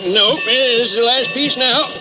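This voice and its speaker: A man's voice: very high-pitched, a little slow, loud music behind the voice. A man playing a folksy small-town railroad baggage man with a drawling rural manner, in 1940s radio drama style. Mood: folksy, easygoing. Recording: noisy old radio broadcast recording.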